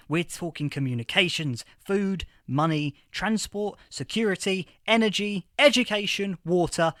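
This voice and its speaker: An actor playing a history professor from a post-apocalyptic future in a fiction podcast interview, explaining things with emphatic lecturing. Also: male voice, a little high, bright, forceful tone, dry recording, studio quality.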